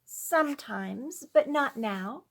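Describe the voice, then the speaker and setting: A woman voicing a frail, grateful sick little girl, soft, patient and polite, in a storybook reading. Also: high-pitched, lively intonation, slow pace, vocal fry, pitched above the performer's own voice, full character voice. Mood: patient, meek.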